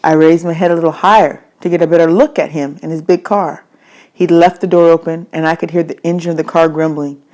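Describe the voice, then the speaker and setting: Low-pitched woman reading a story aloud. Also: fairly even intonation, a little fast, a little mellow. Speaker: read-aloud narrator. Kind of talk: scripted children's novel narration, first person.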